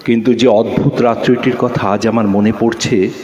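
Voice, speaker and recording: A man playing a reflective writer, narrating memories in an audio drama in a measured, wistful way with pauses. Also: medium pitch, flat delivery, noisy background, natural voice. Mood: reminiscing. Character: reflective male writer recalling his island days. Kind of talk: dramatic literary story narration.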